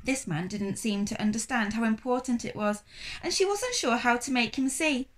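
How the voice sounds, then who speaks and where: A woman in her thirties giving an expressive read-aloud narration of a children's novel. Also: on the high side, moderate pace. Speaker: storybook reader. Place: small indoor room, reclining on cushions.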